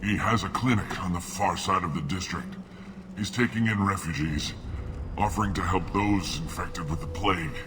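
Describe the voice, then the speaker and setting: An actor playing a bitter, plague-stricken alien in a video game, sick and weak, with grave, formal phrasing. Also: male voice, deep voice, normal speed, noisy background, close to an everyday voice. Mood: weary, resigned. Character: dying plague-stricken male alien, bitter, suspicious of humans. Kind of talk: video game dialogue acting.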